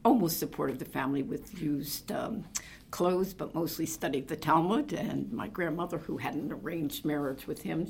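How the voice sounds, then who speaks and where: A woman's voice: low voice, vocal fry, a little hiss or hum. An older woman from New Jersey, reminiscing in an oral history interview, telling meandering, reflective stories full of fillers. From New Jersey, USA. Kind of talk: reminiscing conversation.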